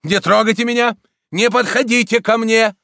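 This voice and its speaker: A man voicing an outraged middle-aged Jesuit priest who shouts indignantly at a mob. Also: fairly high pitch, level tone, takes it slowly, clear, resonant tone, no room sound, studio quality, pitched above the performer's own voice.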